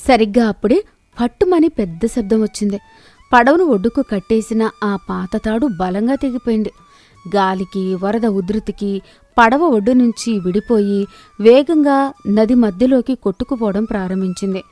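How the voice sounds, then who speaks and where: Lady reading out a storybook, steady and clear, higher voice, dry close sound, faint music. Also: normal speed, no room sound. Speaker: narrator. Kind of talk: scripted storybook narration.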